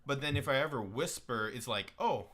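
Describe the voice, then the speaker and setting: Man, naturally loud, bright edge, podcast host, casual swearing banter. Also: mid-range voice, animated, brisk. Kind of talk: casual chat.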